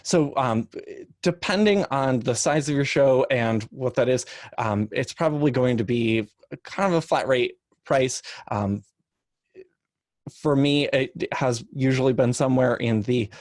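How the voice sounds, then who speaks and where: A man speaking informally on a panel as a podcast producer, explaining things in a measured, hedging way. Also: mid-range voice, darkish tone, no room sound, studio quality.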